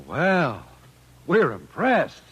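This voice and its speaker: An unfazed American engineer in vintage radio sci-fi. His slightly high, husky voice moves in lively contours, casual yet businesslike and sure of himself. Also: drawn-out words, exaggerated voice, low-quality recording. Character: easygoing, unfazed human engineer, confident team partner. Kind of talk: 1950s radio drama acting. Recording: vintage 1950s radio recording.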